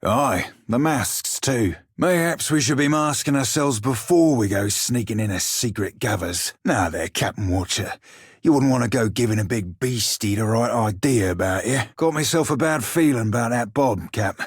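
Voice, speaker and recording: Little male pirate shiphunter, raspy, roguish, wry seafaring dialect, video game character, very dry studio sound. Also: mid-range voice, animated, normal speed, dry recording. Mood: wry, teasing. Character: small, roguish, salty blue pirate shiphunter.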